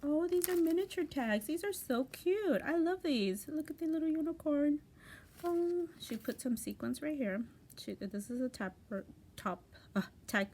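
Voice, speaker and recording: A woman hosting a craft video, gushing and chatty over handmade tags, her voice going hoarse with coughing. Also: high voice, expressive, a little slow, dark tone, slight background noise. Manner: gushing, chatty, restarts often. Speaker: crafting channel host. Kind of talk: casual craft mail unboxing.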